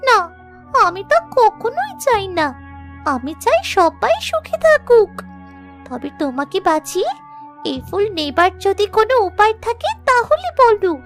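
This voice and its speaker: A woman playing a kind, selfless young princess in a cartoon fairy tale, with an earnest, gentle manner. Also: very high voice, very animated, a little slow, soft background music, heavily acted. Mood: earnest, pleading.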